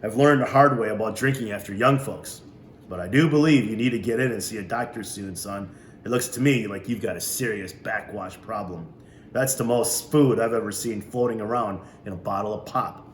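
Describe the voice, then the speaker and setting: A man's voice: fairly low pitch, normal speed, lightly acted. A man voicing a jovial older grandfather who drives and teases in a wry, folksy way. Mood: teasing. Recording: roomy echo, faint hiss.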